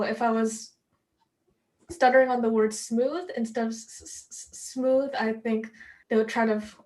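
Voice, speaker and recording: A young woman, a native Korean speaker, hosting a casual podcast chat, with a stutter, many fillers and frequent laughs. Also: medium pitch. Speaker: podcast host. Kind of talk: casual conversation.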